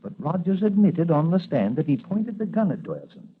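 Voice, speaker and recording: An actor playing a kindly, questioning doctor and confidant in 1940s radio style. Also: male voice, a little high, fairly quick. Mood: inquisitive. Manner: questioning, animated. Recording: tinny, noisy vintage radio recording.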